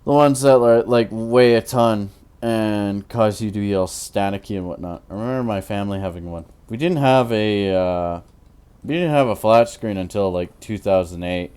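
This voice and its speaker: A tired man in his twenties with a naturally deep voice, rambling through casual commentary while he builds in a game, full of fillers and restarts. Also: normal speed, a little mellow, smooth. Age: 20s. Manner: rambling, hesitant, many fillers. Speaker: Minecraft YouTuber.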